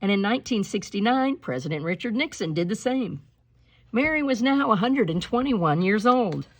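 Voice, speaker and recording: A woman reading a children's picture book aloud in an expressive, emphatic storytelling style, slowing on key words. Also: medium pitch. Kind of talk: expressive read-aloud storytelling with spoken asides.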